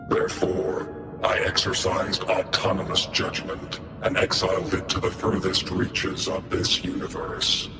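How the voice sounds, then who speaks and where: An actor playing a stoic war machine in a video game, speaking in clipped, formal, monotone reports with robotic processing. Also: male voice, low voice. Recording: long hall reverb under loud music.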